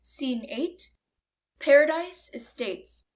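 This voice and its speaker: A woman's voice: high-pitched, expressive, slow. A woman narrating the stage directions of a radio play in a scripted reading.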